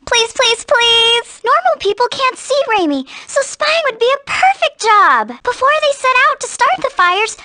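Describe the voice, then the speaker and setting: Squeaky, tiny girlish spirit. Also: expressive, measured pace, hardly any pauses, bright, forceful tone, exaggerated voice. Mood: eager, pleading. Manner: speaks of herself in third person, pleading and cutesy. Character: tiny childlike female spirit, eager, pouty. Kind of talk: video game voice acting.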